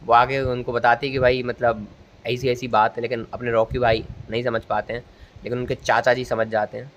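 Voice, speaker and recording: A young man chats through a movie's story in a rambling, joking way. His medium-pitched voice slips easily into storytelling. The recording carries faint ambient noise. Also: level tone, a little fast, fairly bright tone, lo-fi sound. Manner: jokey, rambling storyteller, acts out dialogue. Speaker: movie reviewer. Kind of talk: casual film plot recap in spoken chat. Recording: noisy ambient location recording.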